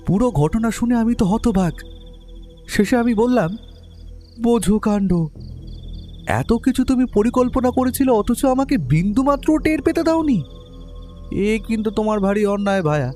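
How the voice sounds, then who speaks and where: Guy playing detective's buddy narrating, soft mellow tone, a bit high for a man, expressive audio story. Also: normal speed, pauses often, soft background music. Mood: astonished, reproachful. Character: detective's amiable male friend telling the story. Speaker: narrator and voice actor.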